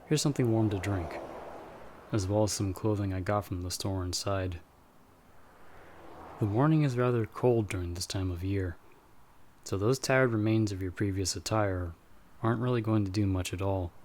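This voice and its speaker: Low-voiced, dry vampire hunter played by a man. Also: a little mellow, slight background noise, close to an everyday voice. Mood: calm, explanatory. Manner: dry, wry, measured delivery. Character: dry, guarded male vampire monster hunter, protective companion. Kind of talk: ASMR audio roleplay, scripted monologue to listener.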